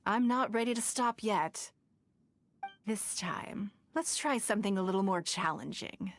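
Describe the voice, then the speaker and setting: An actress playing a poised, shrewd wealthy businesswoman in a video game, speaking in a measured, elegant, formal way. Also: mid-range voice, natural voice. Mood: confident, pleased.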